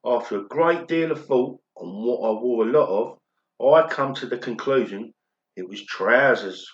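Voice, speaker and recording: Amateur actor as self-important pop musician, chatty and earnest, mid-pitched, echoey room sound. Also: male voice, measured pace, lightly acted. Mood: chatty, pleased. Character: self-important, daft male pop star guest. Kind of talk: amateur radio play acting. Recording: dull, roomy home recording.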